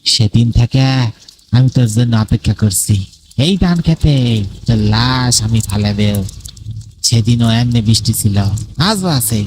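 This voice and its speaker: A man's voice: mid-range voice, unhurried, long pauses, background noise, natural voice. A man voicing the vengeful, accusing ghost of a murdered villager in a horror cartoon. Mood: bitter, vengeful. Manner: menacing, accusing, taunting. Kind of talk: dramatic horror cartoon acting.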